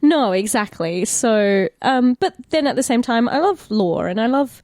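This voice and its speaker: A woman's voice: fairly high pitch, expressive, moderate pace, a little mellow, no room sound, clean studio sound. An Australian woman in casual podcast chat, speaking informally and hesitantly as she thinks aloud. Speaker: podcast guest, tabletop player and actor.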